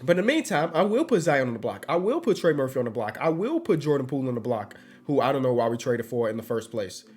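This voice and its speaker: A man's voice: mid-range voice, very animated, quick pace, hardly any pauses, a little mellow, soft background music. A congested man giving casual, slangy commentary while playing a basketball video game. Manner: loose, slangy and upbeat. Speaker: gaming YouTuber.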